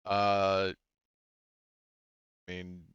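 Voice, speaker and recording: A low-voiced man runs a tabletop game in a casual, informal way. His voice is smooth and close, with no room around it, though the audio is a bit dull and lo-fi. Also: monotone, very slow, a bit bright, no echo. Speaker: game master.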